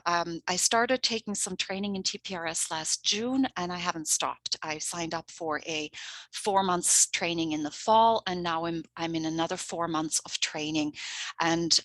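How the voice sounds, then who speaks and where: A woman's voice: lowish voice, a bit bright, no room sound, rough recording. A middle-aged woman from Switzerland, a native Swiss German speaker, presenting a language-teaching workshop in an earnest, chatty way and laughing often. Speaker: language coordinator.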